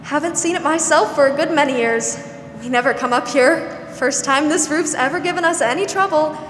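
A young woman acting a gentle, weary, protective older aunt in a 1940s-style radio drama, soothing and hesitant. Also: sits high, moderate pace. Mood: kindly, patient. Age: young adult. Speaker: student actor. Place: school theatre stage. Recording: echoey hall, noisy background.

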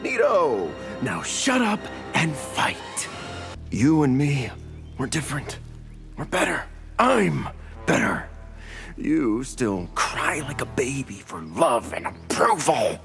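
A smug, swooping superhero villain. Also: male voice, mid-range voice, big pitch swings, takes it slowly, creaky, fairly bright tone, faint music behind, exaggerated voice. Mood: condescending menace. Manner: mocking, condescending, drawling sarcasm. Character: smug, menacing, narcissistic caped male superhero. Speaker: video game villain actor. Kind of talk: video game fight taunts.

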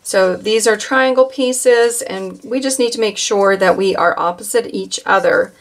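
Calm woman teaching a quilting tutorial. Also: medium pitch, unhurried. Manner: calm, instructive, with occasional fillers. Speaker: quilting instructor. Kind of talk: step-by-step craft tutorial.

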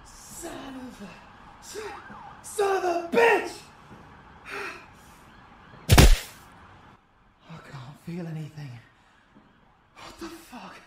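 A man's voice: very high-pitched, huge pitch swings, drawn-out words, pauses often, roomy sound, very noisy, heavily acted. A British man playing a foul-mouthed, fiercely protective fighter in an audio roleplay, blunt and emotional. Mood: pained, frightened.

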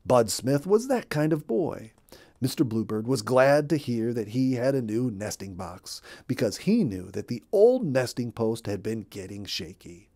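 A man in his fifties reads a children's storybook aloud. His voice is mellow and middling in pitch, and he narrates for young listeners. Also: big pitch swings, unhurried. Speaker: storybook reader. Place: small room indoors.

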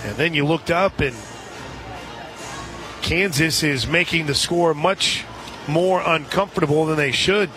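An American man doing live radio play-by-play commentary of a softball game. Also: fairly high pitch, unhurried, pauses often. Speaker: radio play-by-play sports announcer. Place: outdoor stadium broadcast position. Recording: crowd noise and music behind commentary. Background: stadium crowd and music.

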